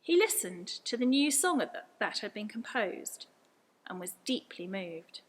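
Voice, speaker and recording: A woman's voice: mid-range voice, very animated, unhurried. A woman reading a scripted story aloud as a storyteller. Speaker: story reader.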